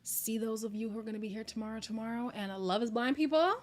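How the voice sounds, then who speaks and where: Chatty woman streamer, slightly hoarse. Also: a little high, fast, a little hiss or hum. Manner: chatty, excitable, laughs often. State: losing her voice, a little hoarse. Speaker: streamer hosting a casual live chat. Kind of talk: casual livestream chat with viewers.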